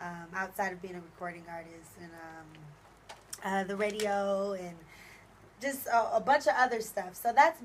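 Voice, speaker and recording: Young woman, singer-radio host type, relaxed interview talk, faint background hiss. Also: mid-range voice, unhurried. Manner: relaxed, plenty of fillers, agreeable. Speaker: recording artist and radio personality. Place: small radio studio. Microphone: studio boom mic.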